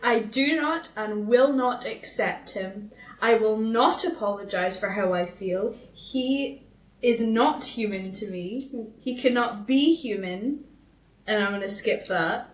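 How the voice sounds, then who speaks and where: A woman's voice: mid-range voice, takes it slowly. A woman co-hosting a podcast, chatting casually and reading out old messages in a sweary, self-mocking way. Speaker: podcast co-host. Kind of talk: casual chat and dramatic reading of old arguments. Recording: dull, echoey, far from the mic.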